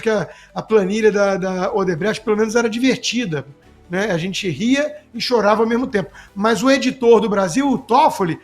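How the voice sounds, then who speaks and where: A man with a Brazilian accent giving off-the-cuff political commentary, sarcastic, emphatic and colloquial. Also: sits high. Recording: music playing behind the voice. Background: music.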